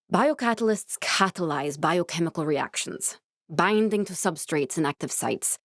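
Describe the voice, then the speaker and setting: An actress playing an earnest, guarded woman scientist in a video game, speaking in a measured, serious, explanatory way with naturalistic delivery. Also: lowish voice, no room sound, clean studio sound, natural voice. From born in Norway, raised in Glasgow (character).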